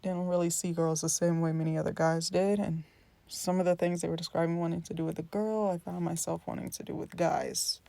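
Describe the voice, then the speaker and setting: Supportive young male friend, played by a woman: low-set, mellow, casual and reassuring, for an indie character drama. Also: level tone, a little fast, creaky, dry recording. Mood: open, relaxed. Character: warm, supportive queer young man, easygoing friend. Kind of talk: scripted character dialogue, naturalistic.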